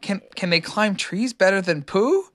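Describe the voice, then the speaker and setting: A reader voicing an eager, innocent young kangaroo child with a squeaky, excited way of asking questions, in a storybook. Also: moderate pace, close to an everyday voice. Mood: eager curiosity.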